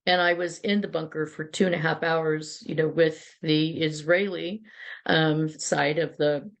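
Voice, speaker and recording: Low, gravelly-voiced woman telling stories. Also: steady tone, unhurried. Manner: chatty, many restarts and asides. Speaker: interview guest, PR agency founder. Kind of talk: casual interview storytelling.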